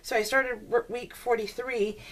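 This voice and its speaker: A woman with a fairly high voice hosts a homemade craft video. She rambles in a chatty, self-deprecating, giggly way, acting out her own reactions with exclamations. Some background noise and clicking sit under the home recording. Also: measured pace, rough recording. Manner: animated storytelling with exclamations and self-quotes. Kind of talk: casual rambling chat over a craft process video.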